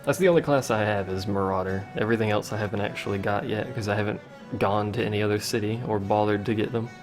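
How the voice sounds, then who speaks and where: A man's voice: lowish voice, fairly even intonation, fast talker, faint music behind. A young man casually commenting while playing a game on a livestream, answering chat with wry asides. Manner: chatty, reads chat questions aloud, wry asides. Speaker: let's play streamer.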